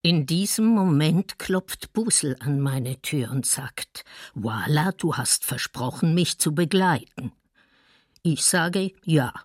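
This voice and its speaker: A woman's voice: low voice, animated, slow pace, a little mellow, no echo, studio quality, exaggerated voice. An actress playing a woman witness giving grave testimony in a documentary radio drama. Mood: sombre recollection. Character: woman witness recalling a neighbour's killing, grave.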